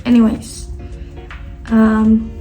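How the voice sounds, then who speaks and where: Slow, soft-toned young woman vlogger. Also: a little high, completely flat delivery, soft background music. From Spain. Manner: chatty, rambling with many fillers and self-corrections. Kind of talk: casual sit-down Q&A vlog. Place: indoors at her sister's home.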